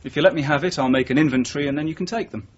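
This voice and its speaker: An actor playing a dry, guarded detective sergeant in a radio drama, speaking in a terse, understated way. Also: male voice, a little high, racing, a little hiss or hum, lightly acted. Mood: businesslike. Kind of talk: naturalistic radio drama acting. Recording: dull old radio broadcast recording.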